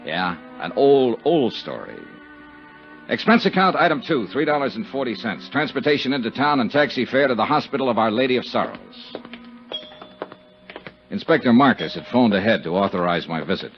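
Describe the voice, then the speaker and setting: Casting: sardonic American private investigator, lively inflection, dull vintage radio sound. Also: male voice, mid-range voice, moderate pace, faint music behind. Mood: cynical narration. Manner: clipped, sardonic. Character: wry, hard-boiled American insurance investigator. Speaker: lead actor and narrator of a radio drama. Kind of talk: 1950s radio acting. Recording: muffled vintage radio broadcast.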